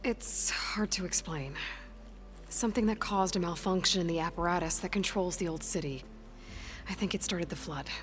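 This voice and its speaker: A woman's voice: fairly low pitch, moderate pace, natural voice. An actress playing a determined young woman hunter who speaks tersely in a video game cutscene. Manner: terse and focused.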